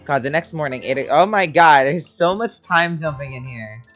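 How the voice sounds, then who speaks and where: Very low-voiced woman game streamer. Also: animated, moderate pace, a bit bright. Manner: amused, slangy asides. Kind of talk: casual playthrough commentary. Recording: game music behind the voice.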